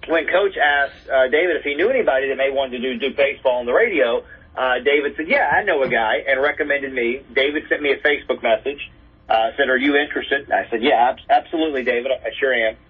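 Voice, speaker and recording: Husky male sports announcer, muffled line. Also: medium pitch, quick pace. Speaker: interview guest, radio play-by-play announcer. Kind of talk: conversational storytelling in an interview.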